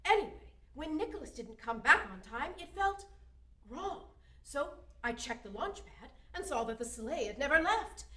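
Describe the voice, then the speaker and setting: Actress as theatrical older Santa's wife, motherly, high-pitched, radio play, distant roomy recording. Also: very animated, bright, forceful tone, exaggerated voice. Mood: worried. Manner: storytelling, measured, fond of children. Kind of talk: amateur radio drama acting. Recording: roomy echo, off mic.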